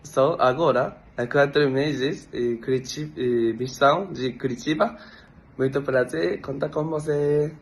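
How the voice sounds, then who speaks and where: A man in his twenties with a Japanese accent, a native Japanese speaker introducing himself in halting, hesitant words from a played-back video. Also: medium pitch. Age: 20s. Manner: halting, learner's speech with pauses. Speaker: missionary.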